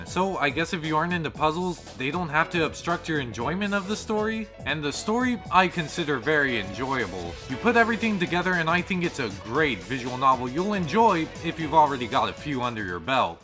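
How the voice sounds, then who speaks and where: Male reviewer, lively swooping pitch, fairly high voice, scripted narration over music. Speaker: video game reviewer. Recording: music behind the voice. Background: background music.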